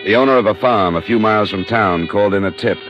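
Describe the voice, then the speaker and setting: An actor playing a hard-boiled American investigator, matter-of-fact and methodical, in a radio noir drama. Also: male voice, on the low side, fast, natural voice. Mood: terse, factual. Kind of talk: scripted first-person radio noir narration and dialogue. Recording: dull vintage radio recording, music.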